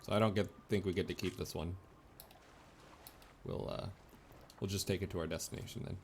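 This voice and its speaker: A man with a fairly low voice talks his way through a video game as a streamer. His commentary is casual and playful with some swearing. There is clear noise in the background. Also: fairly even intonation, brisk.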